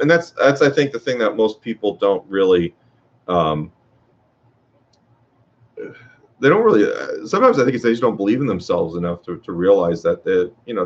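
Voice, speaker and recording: A man teaching guitar in a casual workshop talk, with a low voice and a rambling way of speaking, full of fillers and restarts. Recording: dull lo-fi sound.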